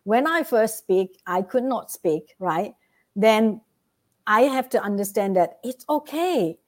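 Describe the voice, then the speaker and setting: A woman's voice: mid-range voice, expressive, takes it slowly. A woman with a Vietnamese accent, a guest giving earnest motivational advice and often checking in with "right?". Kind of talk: motivational interview talk.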